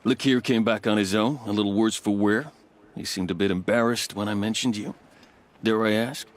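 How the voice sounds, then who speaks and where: A man's voice: on the low side, fairly even intonation, normal speed, close to an everyday voice. A man playing a dry, wry outpost commander in a video game, formal in his phrasing.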